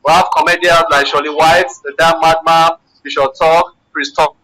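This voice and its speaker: A man's voice: a little high, flat delivery, moderate pace, sharp tone, rough recording. A man with a Nigerian accent promoting an event as a radio guest, eagerly listing things and repeating himself. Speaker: event organiser, phone guest. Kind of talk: event promotion in a live interview.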